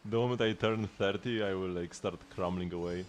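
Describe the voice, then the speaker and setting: A man's voice: deep voice, fairly even intonation, fairly quick. A man in his late twenties chatting while he streams a game, rambling with lots of restarts and laughs. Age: late 20s. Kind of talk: casual gaming livestream chat.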